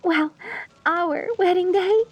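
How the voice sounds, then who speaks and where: A woman playing a sweetly deranged, lovestruck mortician bride in a dark comedy audio roleplay, cooing genteel endearments at the listener with theatrical menace. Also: very high-pitched, steady tone, takes it slowly. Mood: lovestruck, dreamy.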